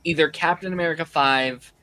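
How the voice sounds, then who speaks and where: Bright-voiced man, a bit under the weather. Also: fairly high pitch, fairly quick, no echo. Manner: thoughtful, lays out opinions at length. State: slightly losing his voice. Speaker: podcast co-host. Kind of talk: casual podcast chat.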